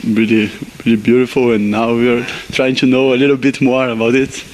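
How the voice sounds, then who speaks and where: A man's voice: medium pitch, little pitch movement, brisk, crowd noise, rough recording. A young man from Brazil, an exchange student, chatting in a street interview. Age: young adult. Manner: friendly, repeats words.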